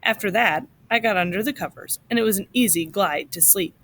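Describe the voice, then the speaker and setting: A woman reading a short story aloud to children in an expressive, instructive way, like a teacher. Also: mid-range voice, fairly quick, a little hiss or hum.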